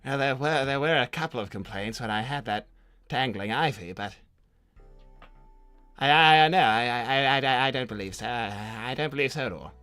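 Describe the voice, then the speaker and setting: A man's voice: mid-range voice, normal speed, pushed and bright, smooth, dry recording, soft background music, voice pushed down, lightly acted. A man voicing a meek, servile nephew of a cartoon villain, stammering and hesitant as he talks. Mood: flustered. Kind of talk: improvised character livestream Q&A.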